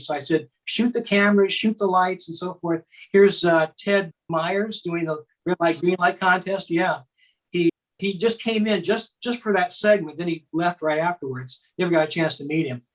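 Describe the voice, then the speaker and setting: A man's voice: sits high, normal speed, low-quality recording. An older man casually reminiscing as a guest, chatty and anecdotal in his telling. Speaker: guest, former child contestant.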